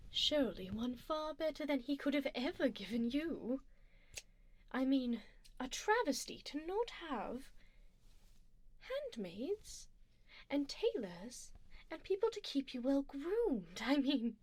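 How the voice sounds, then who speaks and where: A haughty vampire duchess acted by a woman murmurs in refined, formal phrases for an ASMR roleplay. Her voice is high and swings widely in pitch, slipping into breathy whispers right at the listener's ear. She is coolly menacing yet doting. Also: sing-song, slow pace, long pauses, full character voice. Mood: disdainful, doting. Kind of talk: ASMR roleplay, close personal attention. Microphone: close binaural ASMR microphone.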